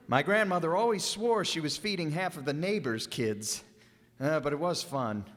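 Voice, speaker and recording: An actor playing a patient middle-aged father in a family radio drama, gently teasing and reasonable. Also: fairly high pitch, animated, normal speed. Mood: nostalgic. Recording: reverberant live hall.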